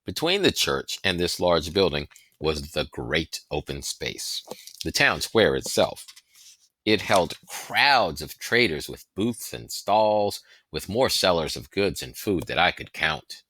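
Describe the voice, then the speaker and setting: A man reading a historical novel aloud as scripted narration, with deliberate, dramatic phrasing and stressed pauses. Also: low voice, big pitch swings, pushed and bright, no room sound. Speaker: audiobook reader.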